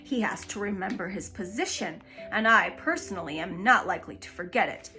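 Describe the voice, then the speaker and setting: A woman's voice: mid-range voice, lively intonation, a little fast, pushed and bright, faint music behind. A woman doing a pompous proud lion. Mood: grandly proud. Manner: grand, gracious, self-important. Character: proud pompous male lion, king of beasts.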